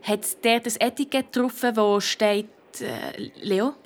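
A clever, self-assured woman detective played by an actress tells her case in Swiss German. She is brisk and a little cheeky, in the storytelling style of a children's radio play. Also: medium pitch, no echo, close to an everyday voice. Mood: probing. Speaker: lead detective and narrator in a children's audio drama.

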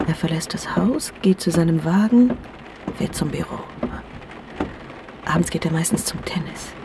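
An actress playing a scheming, manipulative wife in a radio crime drama, coaxing between pleading and breathy whispers. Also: female voice, deep voice, expressive, moderate pace, background noise. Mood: calculating, hushed. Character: scheming, manipulative wife urging her lover toward murder.

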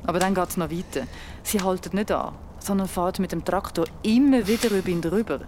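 A woman's voice: lowish voice, brisk, a little mellow, slight background noise, lightly acted. A woman playing a chatty, teasing, bookish young friend with a Swiss accent in an audio drama. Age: young adult. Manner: chatty, casual.

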